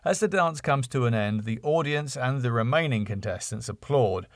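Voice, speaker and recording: A man's voice: mid-range voice, expressive, normal speed, no echo. Man reading a script, very dry sound. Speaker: writer and narrator. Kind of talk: scripted narration, reading stage directions.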